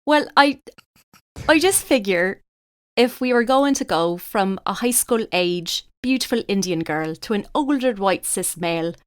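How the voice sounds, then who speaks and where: Woman playing a clear-voiced chatty Dublin woman. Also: mid-range voice, lively intonation, a little slow, no echo, studio quality, lightly acted. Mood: eager, matter-of-fact. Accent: Dublin Irish. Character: chatty Irish woman from Dublin's south side, proudly local. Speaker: guest. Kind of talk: improvised comedy podcast interview.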